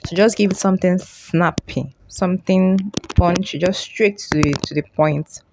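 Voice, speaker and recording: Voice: medium pitch, moderate pace, creaky, noisy background. A tutorial host explaining things informally, often repeating and rephrasing along the way. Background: music.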